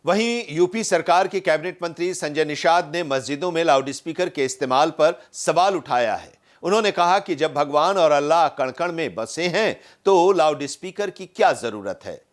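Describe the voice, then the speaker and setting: A male news reporter reading a scripted voice-over in a steady, formal way. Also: a little high, moderate pace, few pauses.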